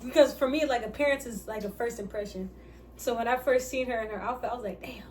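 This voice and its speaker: A woman's voice: a little high, quick pace, a little mellow. A woman chatting casually during a mukbang, rambling and losing her train of thought. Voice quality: light, somewhat feminine voice. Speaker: vlogger answering couple questions. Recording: distant mic, faint hiss.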